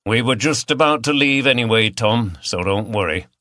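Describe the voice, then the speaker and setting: A man voicing a thoughtful, brisk senior police detective in an audiobook, measured and authoritative. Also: medium pitch, animated, bright, forceful tone, dry recording, studio quality.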